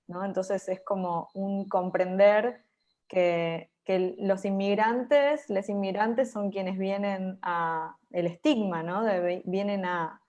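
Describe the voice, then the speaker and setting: A woman hosting a virtual conference, earnest and pausing often with fillers, over a video call. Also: mid-range voice, darkish tone, lo-fi sound. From Argentina. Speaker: conference host.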